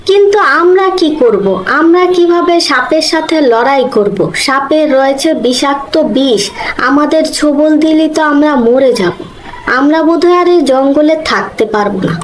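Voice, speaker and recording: Woman voicing a high-pitched little cartoon bird. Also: lively intonation, moderate pace, slight background noise, rough recording. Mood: worried. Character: small anxious, trusting female forest bird. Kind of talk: Bengali animal fable cartoon dubbing.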